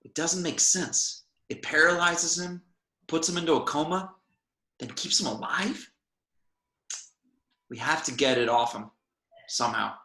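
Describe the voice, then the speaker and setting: A man's voice: fairly high pitch, unhurried, long pauses, sharp tone. A man playing a commanding, impatient spaceship captain in an amateur sci-fi table read. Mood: frustrated, determined. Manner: urgent, commanding. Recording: echoey room.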